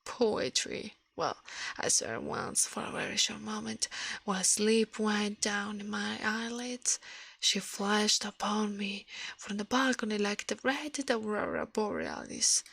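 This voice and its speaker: A woman whispers a story in ASMR style, very close and dry. Her breathy voice often drops into creaky fry, and she reads a little haltingly, sometimes stumbling and correcting herself. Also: medium pitch, a bit bright, no echo. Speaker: ASMR livestreamer reading a story aloud.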